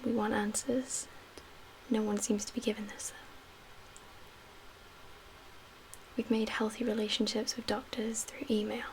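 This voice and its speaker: A woman playing a calm, guarded survivor leader in a soft-spoken ASMR roleplay, half-whispering in a measured, reassuring way with pauses. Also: fairly high pitch, lightly acted, poor audio. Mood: weary, resolute. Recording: audible background noise.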